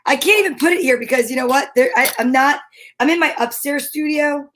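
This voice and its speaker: A woman's voice: high-pitched, brisk, fairly bright tone, a little hiss or hum. A woman podcast co-host in her home studio, bantering casually in a playful, mock-indignant way. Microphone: studio microphone.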